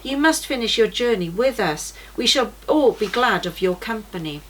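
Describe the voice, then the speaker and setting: A woman's voice: on the high side, expressive, moderate pace, poor audio. A woman voicing a kindly, admiring male warrior guide in a children's storybook. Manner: concerned questioning and warm praise. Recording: noticeable background noise.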